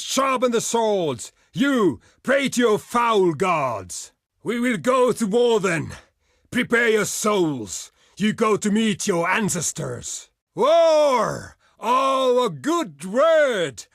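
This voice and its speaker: Proud barbarian envoy, dry sound. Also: male voice, high-pitched, big pitch swings, takes it slowly, fairly bright tone, dry recording, heavily acted. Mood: fierce, war-hungry. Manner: declamatory, archaic formal phrasing. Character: proud, gruff barbarian warrior envoy, blunt and boastful. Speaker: diplomat character in a strategy game. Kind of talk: theatrical video game voice lines.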